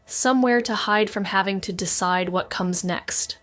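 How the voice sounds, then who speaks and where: A woman's voice: medium pitch, moderate pace, fairly bright tone, no room sound, soft background music, close to an everyday voice. An actress playing a wounded, avoidant woman, narrating a noir audio drama in an introspective, brooding way. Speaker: narrating character.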